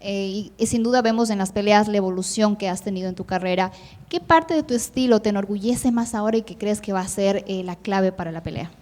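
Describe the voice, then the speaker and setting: A woman's voice: medium pitch, fairly quick, a little mellow. A woman reporter asking questions with energy and "eh" fillers. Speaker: Spanish-language reporter. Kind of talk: interview questions. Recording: echoey hall.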